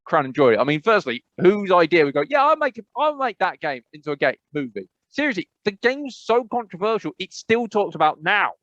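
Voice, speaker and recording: A man with an English accent co-hosting a podcast, rambling and animated, with emphatic repetitions. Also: sits high, fairly quick, few pauses, no room sound, lo-fi sound. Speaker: podcast co-host. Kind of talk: casual chat.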